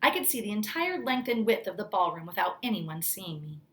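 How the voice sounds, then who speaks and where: A woman reading a children's story aloud like a teacher, expressively, pausing to explain things to young listeners. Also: medium pitch, sing-song. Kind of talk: children's story read-aloud with brief asides.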